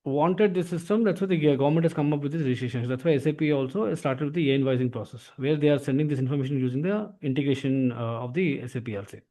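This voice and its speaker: A man with an Indian accent explaining software as an instructor, often tagging phrases with "actually". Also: medium pitch, fast talker, few pauses. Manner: repeatedly tags phrases with "actually". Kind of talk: explanatory software training lesson.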